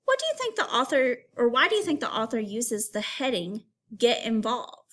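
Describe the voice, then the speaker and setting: Female primary teacher for a kids' read-aloud: higher voice, very animated intonation, instructional, questioning the listener directly. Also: normal speed. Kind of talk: educational read-aloud for young children.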